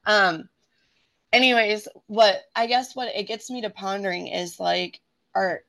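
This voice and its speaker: A woman's voice: medium pitch, steady tone, unhurried, gravelly, fairly bright tone. A woman who teaches preschool, talking casually and rambling a little as she chats with fillers. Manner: chatty, rambling with many fillers. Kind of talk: casual chat.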